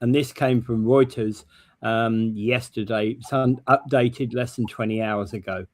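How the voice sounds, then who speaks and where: A man co-hosting a morning news show, with a mid-pitched voice that sounds dry and close. He speaks haltingly, pausing on fillers as he gathers his thoughts. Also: steady tone, no echo. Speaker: co-host. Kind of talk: casual news talk.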